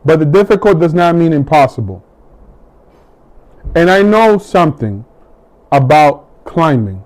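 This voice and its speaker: A middle-aged man from the United States giving a measured, deliberate campaign speech, pausing between each item he lists. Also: fairly high pitch, very animated, a little mellow. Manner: measured, deliberate, with long pauses between list items. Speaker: political hopeful.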